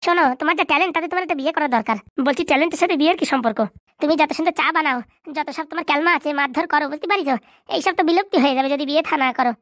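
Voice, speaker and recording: A man voicing an excitable, cheeky young male cartoon buddy, with slangy bantering delivery and a pitched-up effect. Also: racing, no room sound, clean studio sound. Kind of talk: comedy cartoon dubbing in colloquial rural dialect.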